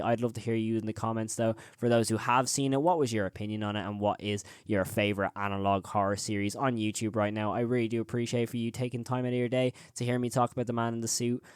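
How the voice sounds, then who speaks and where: Clear-voiced man narrating a video essay. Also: mid-range voice, darkish tone, no echo, studio quality. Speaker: host of a horror review channel.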